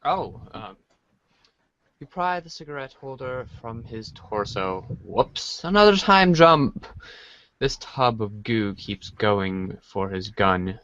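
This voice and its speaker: Man podcast reader, slow halting script read, medium pitch, dry and slightly dull lo-fi recording. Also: sing-song, fairly bright tone, a little hiss or hum. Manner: halting, stumbles over lines. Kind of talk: dramatic read-aloud of a webcomic script.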